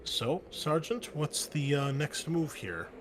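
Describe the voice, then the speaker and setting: A man running a tabletop game as game master, talking in halting, stammering bursts. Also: on the high side, animated, background noise.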